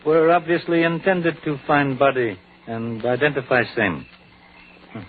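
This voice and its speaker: A man playing a calm, methodical middle-aged Chinese detective in an old radio drama, speaking in measured, clipped sentences that drop small words. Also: mid-range voice, close to an everyday voice. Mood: methodical, puzzled. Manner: measured, clipped speech dropping articles and pronouns. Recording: tinny, hissy vintage radio recording.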